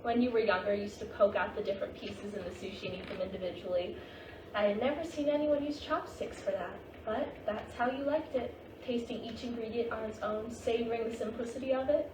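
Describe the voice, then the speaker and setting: A woman playing the gentle, wise ghost of a Japanese grandmother in a staged play reading, patient and reassuring. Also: on the high side, lightly acted. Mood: gently instructive. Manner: patient, reassuring, unhurried guiding. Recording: distant, noisy, echoey staged reading.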